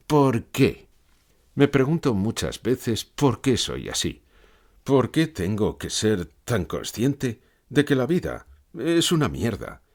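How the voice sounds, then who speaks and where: Male actor as gloomy philosopher, anguished and declamatory; husky, very expressive pitch. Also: heavily acted. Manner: dramatic, declamatory, rhetorical repeated questions. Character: gloomy, lucid pessimist philosopher, brooding and anguished man. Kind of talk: acted fictional interview, audiobook.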